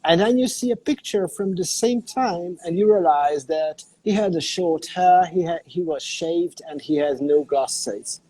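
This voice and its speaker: A man's voice: a little high, a little slow, few pauses, warm tone, poor audio. A middle-aged man from Hungary, a native Hungarian speaker, talking unhurriedly in an interview and searching for his words. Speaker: interview guest, digital artist. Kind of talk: casual interview on a call. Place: cafe in a small town.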